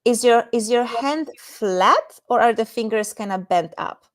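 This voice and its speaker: A woman's voice: fairly high pitch, measured pace. A woman from Poland with a Polish accent, speaking as an expert guest in an interview and explaining things conversationally with examples. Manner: explanatory, illustrates points with examples. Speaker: guest intimacy and tantra expert.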